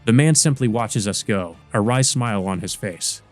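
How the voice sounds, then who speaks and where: A man's voice: fairly low pitch, animated, normal speed, faint music behind, lightly acted. Man reading as a weary teenage boy narrator. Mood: grave. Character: weary, gravely wounded teenage boy narrating his own story. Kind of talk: first-person story narration.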